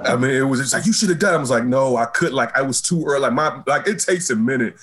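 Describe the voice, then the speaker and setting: A man hosting a casual pop culture chat, animated and laughing often, reading news aloud with lots of fillers. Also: mid-range voice, fast talker, slightly rough. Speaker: talk show host.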